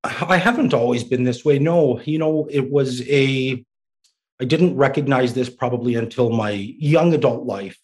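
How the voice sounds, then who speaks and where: A man of middling pitch answers interview questions on a podcast. He speaks in a thoughtful, measured way and often repeats a word as he finds his footing. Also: a little mellow. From Iraq, raised in Canada. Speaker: developmental service worker, guest.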